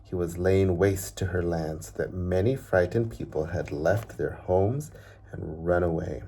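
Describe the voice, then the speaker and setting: Deep, husky-voiced man reading a storybook. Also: unhurried, dark tone. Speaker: father reading a storybook aloud to children. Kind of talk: storybook read-aloud.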